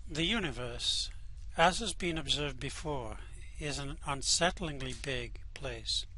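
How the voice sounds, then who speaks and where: Dry wry guy narrating an audio drama, unhurried, bright voice. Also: medium pitch, expressive, slight background noise, low-quality recording. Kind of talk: reading a radio-play narration aloud.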